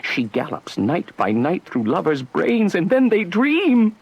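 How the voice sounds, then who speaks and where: A man's voice: sits high, wildly swooping pitch, measured pace, vocal fry, slightly rough, dry recording, lo-fi sound, heavily acted. An actor playing a witty, teasing young nobleman in theatrical Shakespearean verse, relishing each phrase in a playful, declamatory way. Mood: fanciful storytelling.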